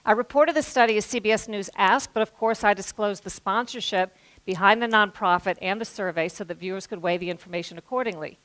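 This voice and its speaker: A middle-aged American woman speaks at a medium pitch into a headset microphone. She delivers a prepared public talk, measured and articulate, in the manner of a journalist. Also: a bit bright, rough recording. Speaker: investigative journalist giving a stage talk. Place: auditorium stage before an audience.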